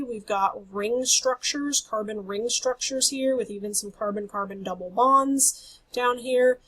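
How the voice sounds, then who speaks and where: A woman's voice: on the high side, a bit bright, low-quality recording. A woman instructor losing her voice, giving a recorded lecture over slides in an explanatory way. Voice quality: husky. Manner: explanatory, apologetic about her voice.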